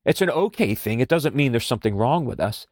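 Man, podcast host, gentle reflective talk, many fillers, mid-pitched, dry recording. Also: animated, brisk, no echo. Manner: gentle, frequent "you know" fillers.

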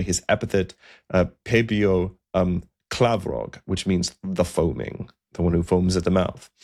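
Deep voiced guy narrating history for his podcast, slow storyteller, lots of uh and um. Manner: measured storytelling with frequent fillers and restarts. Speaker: podcast host.

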